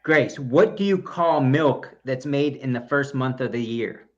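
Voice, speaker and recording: A man co-hosts a running podcast in loose, casual talk, his voice at an ordinary pitch for a man. He is goofy and likes corny jokes, which he delivers with mock pride. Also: measured pace, dull audio. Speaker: podcast co-host. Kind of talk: casual chat.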